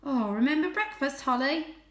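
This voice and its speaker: A woman voicing a friendly, wide-eyed female cat in a storybook, with a warm, teasing, excitable manner. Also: sits high, moderate pace, echoey room, pitched above the performer's own voice.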